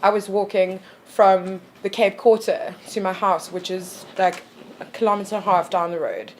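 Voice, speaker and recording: A woman's voice: mid-range voice, moderate pace, a little hiss or hum. Frank woman in her thirties. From South Africa. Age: 30s. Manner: frank, with a lot of ums and likes. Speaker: panel guest. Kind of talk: casual discussion.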